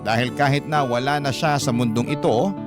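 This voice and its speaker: A man's voice: medium pitch, a little fast. A man reading a listener's letter in first person as scripted radio narration, in an earnest storytelling manner. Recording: narration over clear guitar music. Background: guitar music.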